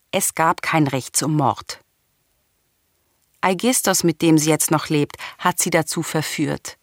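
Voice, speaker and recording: A bitter young princess bent on vengeance declaims in a lowish, close and dry voice. She is grave, deliberate and unyielding, in classical radio drama style. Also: no echo.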